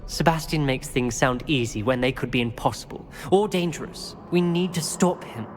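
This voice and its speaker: A man's voice: mid-range voice, normal speed, natural voice. A young man acting as an anxious, principled teenage schoolboy wizard in a video game, with a British accent and formal, grave speech. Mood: worried. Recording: music playing behind the voice.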